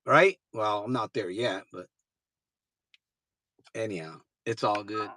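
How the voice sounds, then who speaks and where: A man's voice: lowish voice, slow, bright, forceful tone, a little hiss or hum. A man in his fifties, a recovery coach giving an earnest motivational livestream talk, blunt and informal, repeating phrases for emphasis. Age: 50s. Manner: blunt and informal, swears casually.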